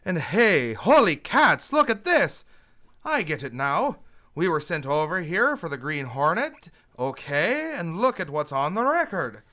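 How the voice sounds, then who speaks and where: A man's voice: a little high, big pitch swings, unhurried, bright, forceful tone, full character voice. A man playing a tough, wisecracking police detective in an old-time radio drama.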